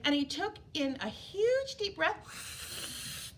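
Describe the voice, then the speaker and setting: A woman reading a picture book aloud to young children as a storyteller, animated and emphatic, drawing words out for drama. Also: extremely high pitch, drawn-out words, slight background noise. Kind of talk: expressive children's read-aloud.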